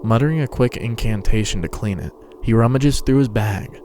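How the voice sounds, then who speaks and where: A man's voice: on the low side, moderate pace, darkish tone, slight background noise. A man giving a scripted, dramatic narration of a story. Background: music and sound effects.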